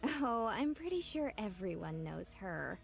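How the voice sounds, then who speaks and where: A woman's voice: mid-range voice, big pitch swings, a little slow, gravelly, faint music behind. An actress voicing a sly, teasing village girl in a visual novel, gossipy and insinuating.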